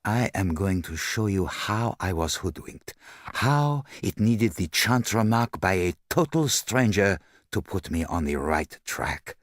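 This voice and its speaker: Brief: male reader doing a hushed, precise, formal sleuth, slow and low, dry close sound. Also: animated, no echo. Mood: explaining. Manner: formal, measured, quietly self-satisfied. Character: fastidious older male detective, soft-spoken and precise. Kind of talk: audiobook character voice.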